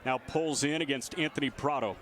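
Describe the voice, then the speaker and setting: A man's voice: mid-range voice, brisk, a bit bright. A man doing live radio play-by-play commentary of a baseball game. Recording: outdoor stadium ambience behind voice. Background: ballpark ambience.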